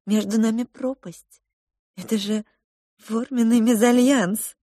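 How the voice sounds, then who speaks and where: A fretful, melodramatic royal cook voiced by a woman lets her words trail off in hushed, drawn-out sighs. The delivery is unhurried, and the sound is dry and close to the mic. It reads like a character in a storybook. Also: fairly high pitch, steady tone, no room sound, pitched above the performer's own voice. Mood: sighing, hushed.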